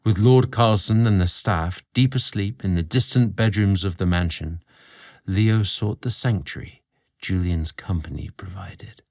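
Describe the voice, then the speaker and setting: A man narrating a scripted romantic story in a measured, expressive storybook manner. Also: deep voice, creaky.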